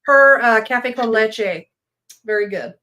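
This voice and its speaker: A woman's voice: fairly high pitch, slow, sharp tone. A woman on a podcast call as a paranormal investigator guest, laughing often and chiming in. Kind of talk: casual chat.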